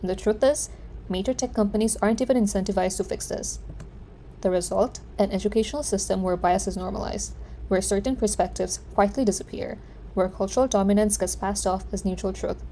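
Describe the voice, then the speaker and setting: A female student giving a scripted class presentation in an earnest, steady delivery. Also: mid-range voice, brisk, a little hiss or hum.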